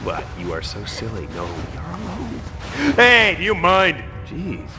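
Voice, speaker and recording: A man playing a brash, flippant young fantasy hero in a video game cutscene, with an animated delivery. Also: on the high side, unhurried, big-room sound, loud music behind the voice. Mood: irritated, flippant. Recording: loud ambient noise behind.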